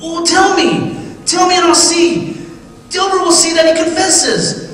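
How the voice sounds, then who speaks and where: A high-voiced condemned man, played by a male radio actor, pleading and agitated. The tone is sharp and strained, insistent in the manner of vintage radio horror. It sounds distant, swimming in heavy echo. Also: steady tone, unhurried. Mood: desperate accusation. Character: desperate condemned man pleading with ghosts of the dead. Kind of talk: old-time radio horror acting. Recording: echoey reverberant radio drama, distant, hissy.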